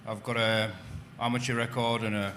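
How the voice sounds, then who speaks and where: A man's voice: medium pitch, flat delivery, normal speed, pushed and bright, slight background noise. A man in his late thirties, a fighter, introducing himself casually at a press conference. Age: 30s. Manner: casual, fills with "you know".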